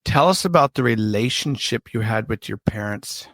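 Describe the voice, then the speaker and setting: A man hosting a podcast, asking his guest questions in a slow, deliberate way. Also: mid-range voice, animated, a bit bright, no echo. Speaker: podcast host. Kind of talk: interview questions.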